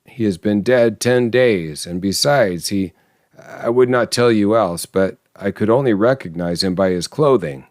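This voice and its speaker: Elderly husband, played by a male narrator, low voice, shaken and faltering, full of dread, storybook drama, very dry sound. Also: a little slow, darkish tone, no room sound, close to an everyday voice. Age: older. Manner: unsteady, shaking, faltering. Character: frightened, trembling old husband, aghast and pleading.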